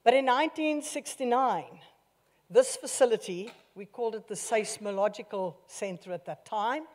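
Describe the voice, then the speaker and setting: A South African woman in her sixties, a mayor giving a loud, forthright, jovial vote of thanks at a podium microphone. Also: a little high, moderate pace. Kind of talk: formal public speech. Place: large marquee tent at an outdoor event. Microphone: podium microphone on a stand. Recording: reverberant live event sound.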